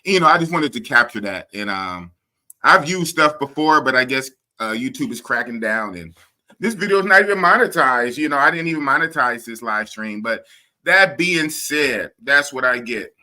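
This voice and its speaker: A man hosting a live stream, talking in an animated, rambling way that keeps repeating itself. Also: medium pitch, normal speed. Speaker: podcast and live stream host. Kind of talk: casual live stream chat.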